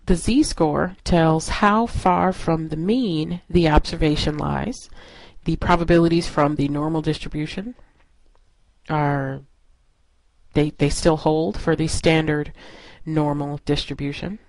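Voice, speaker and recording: A woman's voice: deep voice, fairly even intonation, slow, a little mellow, no room sound, poor audio. A woman instructor narrating a lesson in an explanatory, step-by-step way. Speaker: statistics instructor.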